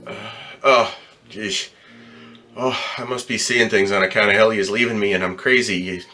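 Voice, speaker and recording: A man acts out a weary, heartbroken ambulance driver in a radio play. His voice sits on the low side with a tense, bright edge. He speaks plainly and haltingly, stumbling over and repeating his words. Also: fairly even intonation, faint music behind. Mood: shaken, grieving. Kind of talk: radio drama acting.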